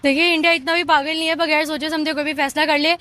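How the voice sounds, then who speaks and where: A woman's voice: high-pitched, level tone, fast, bright, forceful tone. A woman with a Pakistani accent giving off-the-cuff opinions in an interview, mixing in English words.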